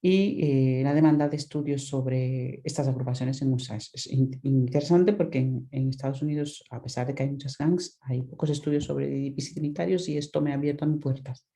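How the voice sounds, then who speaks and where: A woman's voice: extremely low pitch, warm tone. A woman from the Dominican Republic presenting her research as an academic talk over a video call, thoughtful, with many restarts and tag questions. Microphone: video call microphone.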